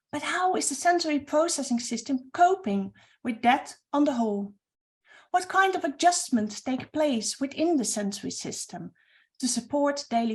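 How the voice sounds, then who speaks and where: A woman with a high voice and a Norwegian accent presents a webinar as project coordinator. Norwegian is her first language, and her English is earnest and a little halting, with occasional restarts.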